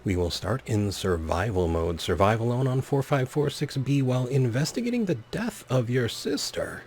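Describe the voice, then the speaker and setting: A man's voice: mid-range voice, animated, moderate pace, a little mellow, slight background noise. A man hosting a let's play, commentating and reading game text aloud with wry, playful asides. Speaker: gaming video host.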